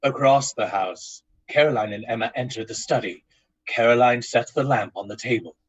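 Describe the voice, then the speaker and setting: A man's voice: medium pitch, normal speed, breathy edge, highs cut off, close to an everyday voice. A man playing a solemn narrator in an old-time radio drama. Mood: solemn, ominous.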